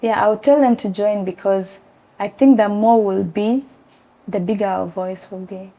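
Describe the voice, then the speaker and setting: A young woman with a gravelly edge and a mellow, dark tone answers an interviewer. She is from South Sudan and speaks earnestly, often pausing with ums as she searches for words. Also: medium pitch, dull audio. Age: young adult. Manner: earnest, hesitant with many ums. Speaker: interview guest. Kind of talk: conversational interview.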